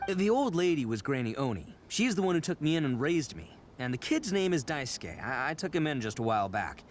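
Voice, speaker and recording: An actor playing a boisterous horned oni man in a video game, loud and boastful, stammering as he bluffs. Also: a little high, big pitch swings, fairly quick. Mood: earnest. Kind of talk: video game cutscene acting. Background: game music.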